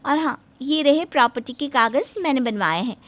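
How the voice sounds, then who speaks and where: Woman voicing scheming female in-law, very high voice, dramatic, whining, threatening, moral-story acting. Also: animated, normal speed, a bit bright. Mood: demanding. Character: scheming, shrill mother of the bride and greedy threatening daughter. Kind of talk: animated moral-story dubbing.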